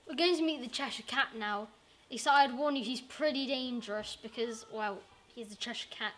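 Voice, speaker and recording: A woman's voice: a little high, normal speed, a bit bright, lightly acted. A woman playing a wary guide who casually warns visitors, recorded at a distance. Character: wary guide warning visitors about Cheshire Cat. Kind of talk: casual in-character chat. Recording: distant voice with faint background noise.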